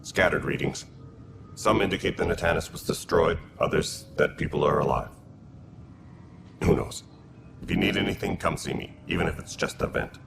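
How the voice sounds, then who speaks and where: A seasoned alien militia officer in a sci-fi game. His voice is extremely low and heavily gravelly, with a dry, easygoing delivery. Loud background noise runs behind it. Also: moderate pace. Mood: wry, welcoming. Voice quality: very deep, gravelly. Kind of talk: video game dialogue acting.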